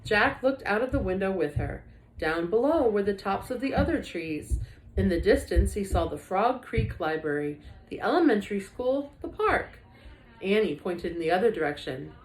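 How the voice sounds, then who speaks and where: A woman reading a children's book aloud like a teacher, with expressive, dramatic emphasis. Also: medium pitch, moderate pace. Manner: animated, dramatic emphasis for young listeners. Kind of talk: expressive storybook read-aloud. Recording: echoey room.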